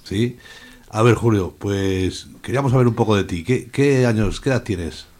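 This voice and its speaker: Male, low voice, radio interviewer, informal questioning. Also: normal speed, darkish tone.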